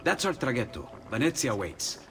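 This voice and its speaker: An actor playing a gentle, excitable Renaissance inventor in a video game, friendly and diffident, with an Italian accent. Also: male voice, medium pitch, moderate pace, a little hiss or hum.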